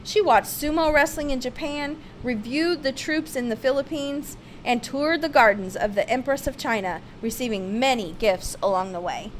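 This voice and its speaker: A woman's voice: high-pitched, moderate pace, hardly any pauses, slight background noise. A woman reads a children's picture-book biography aloud with theatrical, emphatic expression. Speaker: storybook reader.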